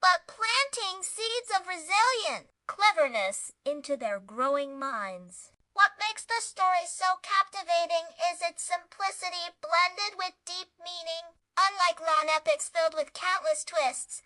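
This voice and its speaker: High, bright woman narrator, dramatic delivery. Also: a little slow, few pauses, dry recording. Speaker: storytelling narrator and reviewer. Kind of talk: scripted narration, children's story review.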